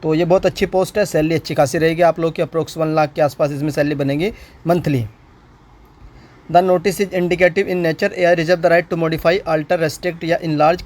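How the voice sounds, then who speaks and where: A man's voice: sits high, a little mellow. A man giving an informal explainer as an exam-prep instructor, repeating points and posing questions to himself. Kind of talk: informal explainer on a recruitment notice.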